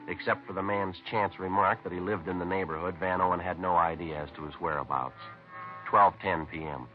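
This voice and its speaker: An actor playing a businesslike, methodical police detective in a 1950s radio crime drama, deadpan and matter-of-fact in clipped sentences. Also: male voice, sits low, lightly acted. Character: businesslike, methodical male police detective narrating his case. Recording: tinny narrow-band vintage radio recording.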